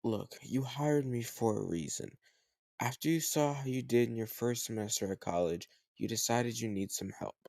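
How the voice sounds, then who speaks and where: A man playing a teasing, slightly older home tutor who gently chides the listener in a conversational, intimate roleplay audio drama. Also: medium pitch, moderate pace, vocal fry, no room sound, clean studio sound, natural voice. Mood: gently chiding.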